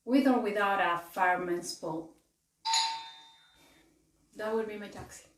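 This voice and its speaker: A woman playing a flustered, self-deprecating young woman in a film monologue, rushed and awkward. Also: mid-range voice, natural voice. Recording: echoey room, far from the mic.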